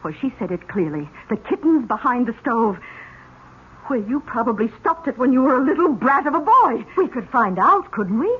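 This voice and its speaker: A genteel, insistent lady with a high, swooping voice. She is earnest and anxious, acting in 1970s radio drama style. The sound is a thin, phone-like old broadcast. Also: big pitch swings, normal speed, slightly rough.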